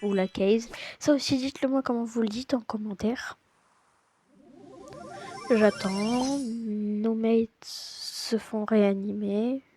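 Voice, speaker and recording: A woman's voice: a little high, steady tone, takes it slowly. A woman with a slight cold giving a casual, hesitant voice-over of her gameplay, full of fillers and restarts. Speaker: gaming channel host. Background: loud game music.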